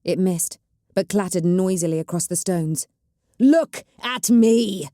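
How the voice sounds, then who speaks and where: A woman's voice: on the low side, little pitch movement, moderate pace, warm tone, no echo, clean studio sound, lightly acted. A woman voicing a battered, stubborn woman navigator in chronic pain, speaking through gritted teeth with acid, commanding force. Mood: furious.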